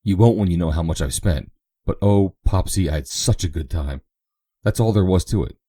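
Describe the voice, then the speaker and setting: A man voicing an excitable, bubbly young woman who gushes in breathless exclamations. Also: low voice, moderate pace, no room sound, clean studio sound. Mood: gushing.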